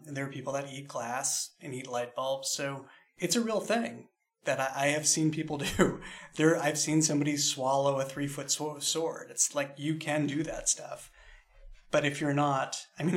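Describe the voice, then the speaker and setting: A man talking casually in a behind-the-scenes discussion, explaining things with an occasional chuckle in his speech. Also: mid-range voice. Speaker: podcast creator in a cast discussion.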